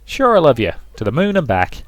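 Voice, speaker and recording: A man's voice: a little high, huge pitch swings, fairly quick, no room sound, pitched above the performer's own voice. A man voicing a playful, lovestruck young man who woos earnestly in a visual novel reading. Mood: ardent. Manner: earnest, teasing, wooing. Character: eager, playful lovestruck young man courting a shy girl.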